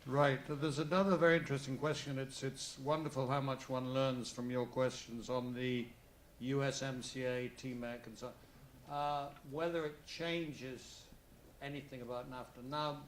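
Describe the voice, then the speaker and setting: A man's voice: mid-range voice, fairly even intonation, moderate pace, slight background noise. Rambling older male panel moderator. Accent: non-native English, foreign-accented. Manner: rambling, digressive, full of asides. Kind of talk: conference panel discussion. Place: conference hall. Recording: roomy conference hall with faint ambience.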